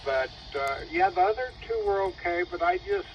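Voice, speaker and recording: A man chatting casually over two-way radio as an amateur operator, telling things in a rambling, unhurried way. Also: high-pitched, wildly swooping pitch, vocal fry. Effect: two-way radio transmission. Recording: dull radio transmission, faint noise.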